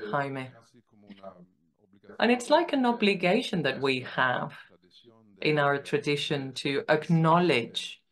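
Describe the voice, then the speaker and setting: A man from Sweden, commenting from the floor of a panel discussion in a conference hall, deliberate and a bit provocative. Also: a little high. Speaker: audience member. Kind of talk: question from the floor.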